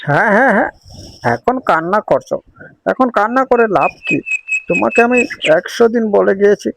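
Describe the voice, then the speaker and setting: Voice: medium pitch, expressive, brisk, vocal fry. A voice actor playing a male songbird husband who talks in a reproachful, scolding way. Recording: noisy recording.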